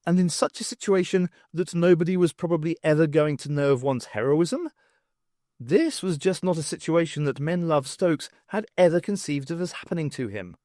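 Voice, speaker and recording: A man narrating a fiction audiobook in an expressive, wry storytelling manner. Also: mid-range voice, no echo, clean studio sound. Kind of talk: dramatic scripted narration of fiction.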